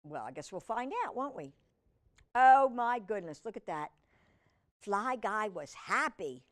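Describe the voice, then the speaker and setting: Expressive woman reading slowly to children. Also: medium pitch. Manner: expressive, slow deliberate reading with chatty asides and questions. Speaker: host of a children's read-aloud storytime. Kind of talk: reading a picture book aloud with casual asides to young viewers.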